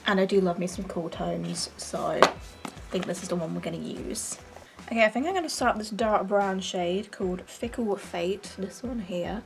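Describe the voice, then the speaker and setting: A young woman with a croaky voice, chatting casually through her makeup routine in her bedroom, rambling and self-deprecating. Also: mid-range voice, moderate pace, music in the background. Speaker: beauty vlogger. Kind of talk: casual get-ready-with-me chat.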